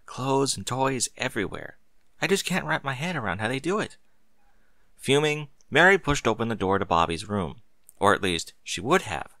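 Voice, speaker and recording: A man narrates a story from a script. His voice is bright and very animated in pitch, recorded close and dry. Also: dry recording.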